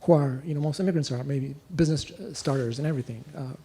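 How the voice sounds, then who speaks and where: A young man from Ethiopia asking a long question at a lecture, halting and full of restarts. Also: medium pitch, mellow, slightly rough. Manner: halting, many fillers and restarts. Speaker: audience questioner. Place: lecture hall.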